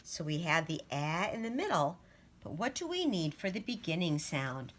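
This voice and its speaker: A woman teaching young children, reading aloud and sounding out letters and words deliberately. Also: low voice, very animated, a bit bright, slight background noise. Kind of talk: storybook read-aloud and phonics lesson.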